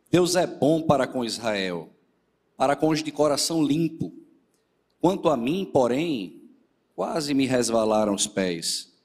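A middle-pitched male presbyter, his voice a touch nasal and hoarse from a lingering cold. He addresses the congregation earnestly and reads scripture aloud. His voice rings with the long reverb of a church hall. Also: a little mellow. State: still a bit hoarse after the flu. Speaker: presbyter leading a church service. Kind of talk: sermon with scripture reading.

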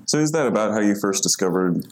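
A man's voice: fairly low pitch, lively intonation, fast, slight background noise. A man hosting an interview, asking loose, rambling questions with many restarts and fillers. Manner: hesitant, rambling questions full of "like". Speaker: interviewer, podcast host. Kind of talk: casual interview.

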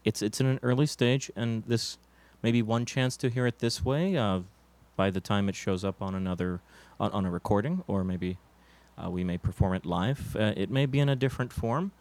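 A man playing a musician in a deadpan mock interview, halting and stammering, earnest but evasive. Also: lowish voice. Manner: hesitant and full of fillers. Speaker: interviewed musician in a comedy sketch.

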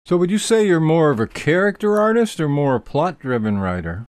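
Male interviewer, highish animated voice, dry close sound. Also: brisk, no room sound. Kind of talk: asking interview questions.

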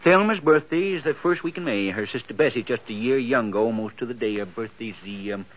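A man's voice: medium pitch, brisk, lightly acted. A man playing a gossipy, eager small-town townsman in a 1950s radio western, rambling and hemming in a folksy way. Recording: dull 1950s radio recording.

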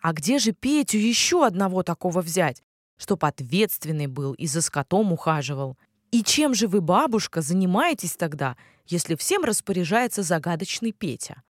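A woman's voice: fairly low pitch, big pitch swings, normal speed, dry recording, studio quality. A woman voicing curious adult villagers in a children's fairy tale, asking eager questions.

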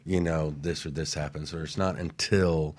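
A man's voice: extremely low pitch, steady tone, moderate pace. A man co-hosting a talk show in a casual, motivational conversational style.

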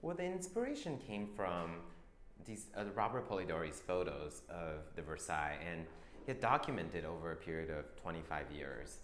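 A young man who grew up in Taiwan, a fashion designer answering interview questions in a soft, halting way with many restarts. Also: sits low, big pitch swings, slight background noise. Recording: echoey room with faint ambience.

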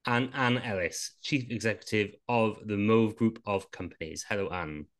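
A man hosting a podcast, introducing guests in a relaxed, informal way. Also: fairly low pitch, moderate pace. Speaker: podcast host.

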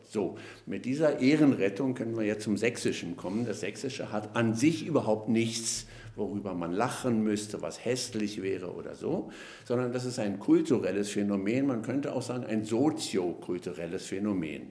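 A man, a linguist, explaining at length in a podcast interview, measured and lecturing, with frequent hesitation fillers. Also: fairly low pitch, dark tone, reverberant. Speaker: linguist guest.

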